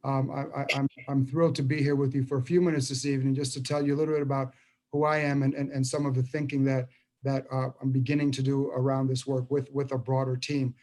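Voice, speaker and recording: Halting, earnest man in his fifties. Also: mid-range voice, flat delivery, a little mellow. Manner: halting, many repeated words and restarts. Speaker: school superintendent. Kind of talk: public meeting remarks.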